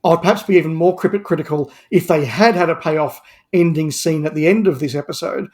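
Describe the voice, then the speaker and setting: Higher-voiced man, podcast guest. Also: a little fast, a little mellow. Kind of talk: casual review chat.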